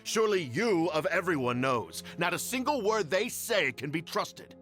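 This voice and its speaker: A scheming court official, fawning and evasive, who stammers whenever pressed, from a video game. His voice is fairly high and bright, swinging widely in pitch. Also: big pitch swings, normal speed, faint music behind. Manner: nervous, stammering, ingratiating.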